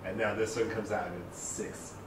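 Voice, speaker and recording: A man's voice: on the low side, level tone, moderate pace. A man at a meetup, joking and chatting casually with the group. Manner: laughing, jokey. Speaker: meetup attendee. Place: meetup room. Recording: roomy, from far off, audible noise.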